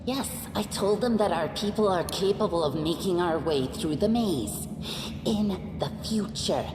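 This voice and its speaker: A guarded female game scout with a very low voice. She speaks gravely and defensively at a slow pace. Music plays behind her in a long, echoing reverb. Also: background noise. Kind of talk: video game voice acting.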